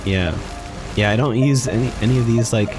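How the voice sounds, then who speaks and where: A man giving casual gaming commentary, thinking aloud haltingly and repeating words as he goes. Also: medium pitch, poor audio. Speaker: streamer. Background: game music.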